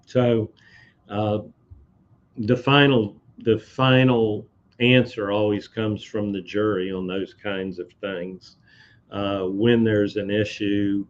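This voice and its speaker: Slow, halting man with a dull mic. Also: fairly low pitch. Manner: slow, halting, many "uh" fillers and restarts. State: slightly hoarse. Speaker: code expert on a live industry webcast. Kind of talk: casual live-stream talk, answering viewer comments.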